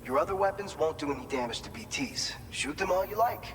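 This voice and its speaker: An upbeat male staffer in a game cutscene, giving briefings in a slightly high voice. He explains things informally and encouragingly, in a long, hall-like reverb with clear noise behind him. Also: animated, fairly quick, fairly bright tone. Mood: encouraging, instructive. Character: chatty, upbeat male distribution center staffer briefing a courier. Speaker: briefing officer. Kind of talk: video game cutscene dialogue.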